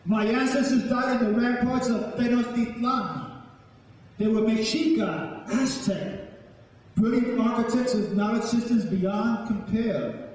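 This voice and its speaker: High-voiced New man in an echoing hall. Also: darkish tone. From South Bronx, New York. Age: middle-aged or older. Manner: declamatory, solemn, deliberate phrasing. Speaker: spoken word poet. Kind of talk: dramatic live poetry recitation with spoken introduction. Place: large indoor hall, live performance before an audience. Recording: echoey hall, distant microphone.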